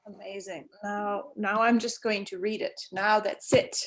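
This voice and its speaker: A woman's voice: medium pitch, fairly even intonation, measured pace, poor audio. Hesitant woman from Portugal on a call. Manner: hesitant, many ums and restarts. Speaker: guest. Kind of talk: casual video-call chat. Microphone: video call.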